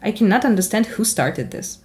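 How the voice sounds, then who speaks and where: A woman from Ukraine with a Ukrainian accent, a native Russian speaker, giving a chatty tarot card reading in an animated, giggly, rambling and candid way. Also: medium pitch, brisk. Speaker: tarot reader.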